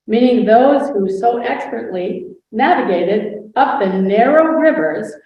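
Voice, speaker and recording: A proud, witty 19th-century noblewoman, voiced by a woman tells her life story in a grand manner. The voice sits fairly high. It comes from a distance in a heavily echoing hall, with a dull, muffled sound. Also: animated, takes it slowly. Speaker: historical impersonator. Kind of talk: scripted first-person portrayal. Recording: roomy hall sound, far from the mic, dull.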